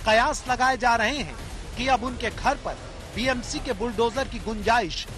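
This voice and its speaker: A man's voice: extremely high pitch, a little slow, over music. A man narrating a television news report in a scripted voice-over.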